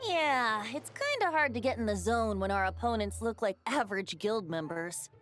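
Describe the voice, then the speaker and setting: Casting: actress as casual young woman in a fantasy game, slightly high, music behind. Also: lively intonation, moderate pace, close to an everyday voice. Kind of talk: video game voice acting.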